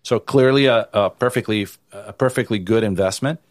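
A man from Italy answering interview questions in a low, loose conversational voice. He restarts phrases often and leans on "you know." The sound is dry and close. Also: moderate pace, dry recording. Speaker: venture capital investor, guest.

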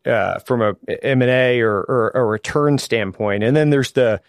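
A man's voice: mid-range voice, normal speed, smooth, no room sound, studio quality. A man interviewing a guest, asking questions and adding brief comments in a casual tone.

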